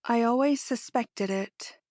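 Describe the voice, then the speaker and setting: A woman acts a wistful, self-pitying married lady from a classic novel. Her voice sits fairly high and sighs plaintively through each line, recorded very dry. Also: takes it slowly, a little mellow, no echo. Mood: tender. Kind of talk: dramatic reading of classic literature.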